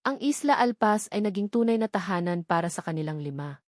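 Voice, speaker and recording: A woman's voice: mid-range voice, expressive, a little fast, a little mellow, no room sound. Woman narrating a sentimental story, dry sound. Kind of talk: scripted dramatic story narration.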